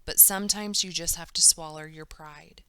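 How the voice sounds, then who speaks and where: A woman's voice: low-pitched, fairly even intonation, normal speed, sharp tone, clear, resonant tone, no echo, studio quality. A woman teacher reading a children's novel aloud, careful and emphatic, doing voices for the characters.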